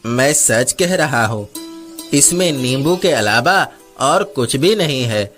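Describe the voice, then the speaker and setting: A man's voice: mid-range voice, lively intonation, slow pace, fairly bright tone, soft background music. A man voicing an earnest, determined young farmer's lad in a cartoon story, polite and firm. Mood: pleading. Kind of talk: Hindi cartoon story character dubbing.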